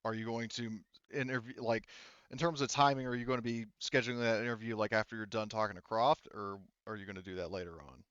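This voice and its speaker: Guy game mastering a tabletop rpg, kinda rambly and informal, clean smooth voice, close dry dull sound. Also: lowish voice, fairly even intonation, fast talker, fairly bright tone, no room sound.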